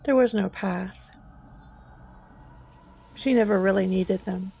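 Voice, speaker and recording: A woman narrating a scripted horror story in a slow, hushed, suspenseful manner with long deliberate pauses. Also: mid-range voice, vocal fry, husky. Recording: dull lo-fi, music bed behind. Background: background music.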